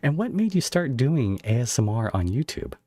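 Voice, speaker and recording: A man's voice: medium pitch, sing-song, moderate pace, a little mellow, no room sound, studio quality. A man hosting a casual livestream podcast interview, effusive and given to rambling as he talks with his guest.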